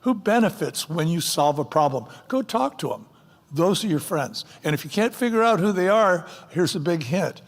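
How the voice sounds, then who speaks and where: A man's voice: fairly high pitch, lively intonation, moderate pace. A man, a company co-founder, speaking emphatically at a conference fireside chat and firing off rhetorical questions. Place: conference stage. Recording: long hall reverb.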